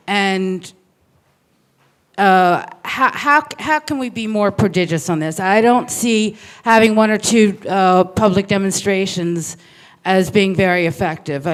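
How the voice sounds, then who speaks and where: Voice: medium pitch, measured pace, smooth. An audience member asking a question at a bookstore talk, hesitant, with many fillers and restarts. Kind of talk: public Q&A question. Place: bookstore event room.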